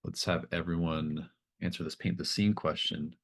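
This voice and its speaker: Really deep voiced guy running a tabletop rpg, describing scenes bit by bit. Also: fairly even intonation, normal speed, gravelly. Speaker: game master. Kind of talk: improvised tabletop game narration.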